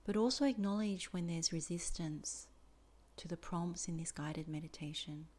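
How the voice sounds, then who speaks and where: Female meditation teacher, slightly low register, soft and breathy at phrase ends, measured and deliberate delivery. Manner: slow, deliberate phrasing with many pauses. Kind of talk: guided meditation instruction.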